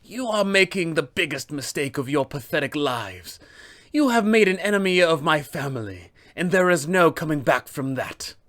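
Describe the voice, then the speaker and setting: A man's voice: fairly high pitch, lively intonation, normal speed, fairly bright tone, voice pushed up. A man voicing a haughty, self-serving female wizard with formal, theatrical disdain for a tabletop game. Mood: threatening. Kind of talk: acted villain lines for a tabletop game.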